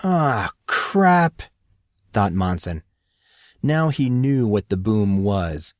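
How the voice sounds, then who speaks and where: A man's voice: fairly low pitch, lively intonation, slow, mellow, dry recording. Man narrating fiction, dry close sound. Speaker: audiobook narrator.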